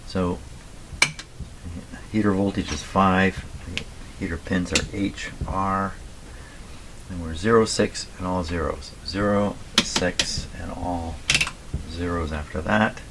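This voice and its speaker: A man with a very deep, slightly hoarse voice talks casually as he works at a workshop bench. He goes very slowly, often half to himself, reading out details with care. Clear background noise runs behind him. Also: steady tone. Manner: muttering to himself, reading out settings slowly and deliberately. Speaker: radio repairer and video host. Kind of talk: casual running commentary while working. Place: radio repair workshop at a bench. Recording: clearly noisy workshop recording with clicks.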